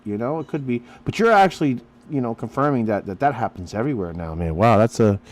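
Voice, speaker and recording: A man's voice: medium pitch, animated, fast. A man from Pennsylvania hosting a radio interview, rambling and getting preachy between his questions. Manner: rambling, preachy, lots of fillers. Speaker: radio host. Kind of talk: casual interview chat.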